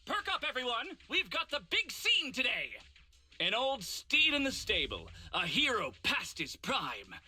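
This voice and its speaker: A woman playing a bossy, excitable film director in a video game dub, theatrical and commanding. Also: fairly high pitch, unhurried, soft background music, heavily acted. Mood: rousing, commanding. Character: bossy, excitable female film director rallying her crew. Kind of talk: animated game dub acting.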